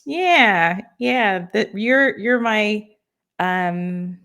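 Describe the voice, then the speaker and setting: Eager young woman with a gravelly voice. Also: mid-range voice, very slow, a bit bright. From Texas. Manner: eager, stumbles and repeats words. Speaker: podcast host. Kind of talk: casual interview chat.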